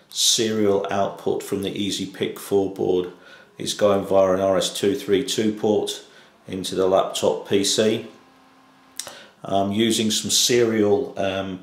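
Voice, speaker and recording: A man giving an unscripted technical demonstration in a hesitant, matter-of-fact way, recorded indoors on a camcorder microphone. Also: sits low. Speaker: electronics hobbyist presenting a project. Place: indoors in a small tiled kitchen-like room. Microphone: camcorder microphone behind the camera. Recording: echoey small room.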